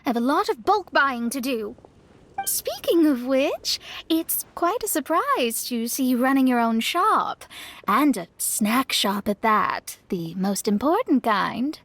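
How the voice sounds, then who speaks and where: A woman's voice: sits high, very animated, slow, a bit bright, full character voice. A woman voicing a proud, earnest young female astrologer in a video game, self-assured and a little prim as she explains herself. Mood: businesslike.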